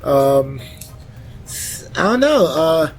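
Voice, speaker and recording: A man chatting casually as a panelist and video game fan, animated and repeating phrases for emphasis. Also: on the high side, very slow, faint music behind. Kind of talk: casual chat.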